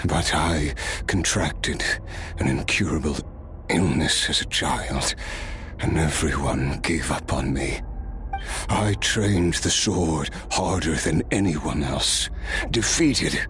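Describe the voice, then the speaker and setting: An actor playing a weary, ancient swordsman who speaks in a measured, grave way, at times dropping to a hush, in a game cutscene. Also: very deep voice, a little hiss or hum, exaggerated voice. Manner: measured, grave storytelling, sometimes hushed. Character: weary ancient male swordsman, solemn and reflective. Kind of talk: video game cutscene voice acting. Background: game music score.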